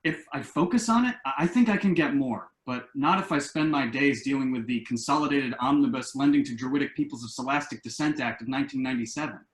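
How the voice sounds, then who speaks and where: A man's voice: mid-range voice, fast, few pauses, natural voice. A man acting a self-assured, glib son who earnestly explains his schemes in a table-read play, recorded in an echoing room. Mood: earnest, confident. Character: glib, enterprising grown son pitching shady business schemes. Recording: roomy, echoing home recording.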